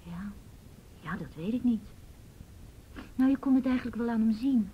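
A woman's voice: muffled recording, close to an everyday voice. A woman playing a hesitant, nervous teenage schoolgirl being questioned in a Dutch radio drama. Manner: hesitant, halting. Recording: noisy old radio drama recording, rumble.

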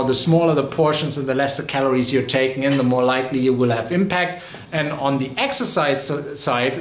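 A man in his thirties talking casually to the camera about fitness, explaining with frequent "you know" and self-corrections, recorded in a home gym. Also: medium pitch. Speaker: fitness vlogger. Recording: echoey room.

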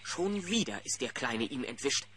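A man narrating a scripted children's audio play in an animated storytelling style. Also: medium pitch, normal speed, a little hiss or hum.

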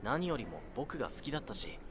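A man playing a mild, modest 19-year-old in an amateur audio drama, with a casual, gentle, self-effacing manner. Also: medium pitch, very animated, fast talker, a little hiss or hum. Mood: reflective. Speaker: narrator and lead actor in an audio drama. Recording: music behind the voice.

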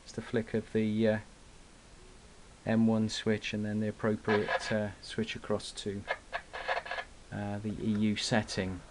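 A man giving an unscripted hobbyist product demonstration in a halting way, with frequent pauses, recorded indoors on a camera mic. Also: on the low side, completely flat delivery. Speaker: radio hobbyist presenting gear. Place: indoor room at a radio desk. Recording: clear background noise, clicks.

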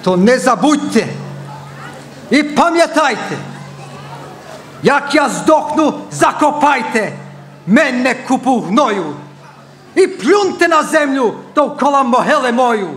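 A man's voice: very high-pitched, lively intonation, takes it slowly, long pauses, a bit bright, a faint crowd, full character voice. A man acting a pompous, melodramatic dictator in a satirical stage monologue in verse. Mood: self-pitying lament.

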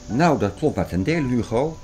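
An actor playing an obsessive, agitated conspiracy theorist in an audio drama, lecturing earnestly and insistently. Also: male voice, mid-range voice, expressive, normal speed, a little mellow, close to an everyday voice, low-quality recording. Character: obsessive, agitated male conspiracy theorist expounding apocalyptic prophecies. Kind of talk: Dutch audio drama acting. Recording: music behind the voice.

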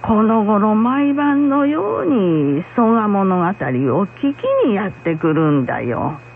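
Frank, caring old village woman played by an actress, slow and melodic, for radio drama; dull hissy recording. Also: medium pitch, sing-song. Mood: reminiscing. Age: older. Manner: unhurried, gently admonishing. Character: kindly, frank old blind woman acupuncturist. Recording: muffled radio recording with hum, faint noise.

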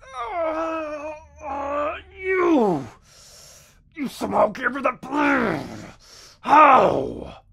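Man acting a frantic, high-pitched villainous shadow creature. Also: lively intonation, drawn-out words, gravelly, long pauses, pushed and bright, exaggerated voice. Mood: outraged. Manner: strained, shrieking, pleading. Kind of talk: yandere ASMR roleplay acting.

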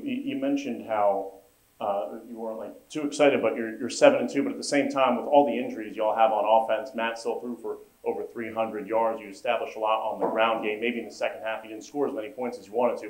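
A man's voice: lowish voice, steady tone, a little fast, darkish tone. A man asking rambling, hesitant questions at a press conference. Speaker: reporter and moderator. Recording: echoey room.

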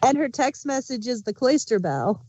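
A woman's voice: a little high, very animated, moderate pace, warm tone, no echo. A woman speaking as a panelist in a casual review discussion.

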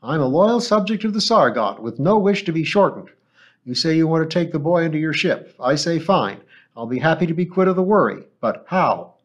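A man's voice: expressive, brisk. A male audiobook narrator voicing a shrewd, anxious old woman shopkeeper who complains and dodges questions. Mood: alarmed. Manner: shrill, complaining, evasive.

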